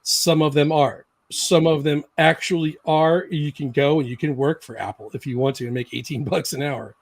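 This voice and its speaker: Animated higher-voiced male host. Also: moderate pace. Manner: animated, emphatic, laughs often. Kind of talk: casual live-stream chat.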